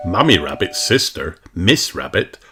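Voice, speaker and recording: A man reads a picture book to young children. His delivery is slow and deliberate, with hugely varied intonation. Soft music plays behind him. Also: deep voice. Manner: expressive, deliberate reading. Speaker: audiobook narrator. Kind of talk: animated children's storybook read-aloud.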